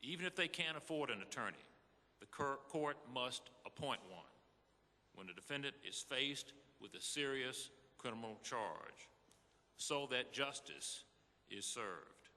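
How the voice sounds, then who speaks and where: A man playing an earnest, formal Supreme Court justice in a scripted, deliberate, oratorical reading for a live re-enactment. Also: mid-range voice, lively intonation, bright, forceful tone, heavily acted. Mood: earnest, emphatic. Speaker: reader in a readers theater re-enactment. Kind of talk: scripted dramatic reading to an audience. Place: large hall before an audience. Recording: echoey hall with long reverb.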